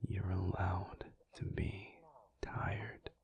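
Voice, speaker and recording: A man playing a tender, weary, protective alpha werewolf in an intimate audio roleplay, speaking gently and haltingly in a breathy, low, often whispered voice. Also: level tone, exaggerated voice. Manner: slow, gentle, halting, reassuring.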